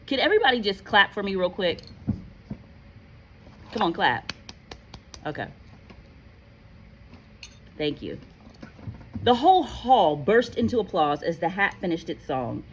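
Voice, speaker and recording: A woman reading a story aloud in an animated, playful, expressive way, like a teacher. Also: medium pitch, unhurried, pauses often, a little hiss or hum. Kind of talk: expressive read-aloud narration with casual asides.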